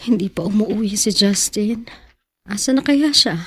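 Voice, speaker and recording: A woman's voice: medium pitch, lively intonation, moderate pace, slightly rough. An actress playing a poor, naive and devoted young woman in a Tagalog radio drama. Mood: worried, confused.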